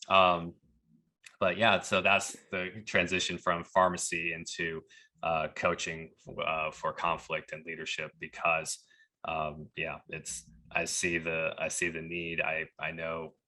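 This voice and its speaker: A man with a very low, clearly bright voice tells stories in a conversational way as a podcast guest. He often slips into quoted dialogue as he recounts what people said. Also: completely flat delivery, measured pace. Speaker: pharmacist turned leadership coach. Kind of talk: conversational storytelling.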